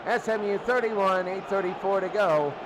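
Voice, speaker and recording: A man's voice: high-pitched, moderate pace. A man giving live play-by-play football commentary in short, clipped calls with repeated phrases. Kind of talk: live radio sports commentary. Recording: very noisy game ambience.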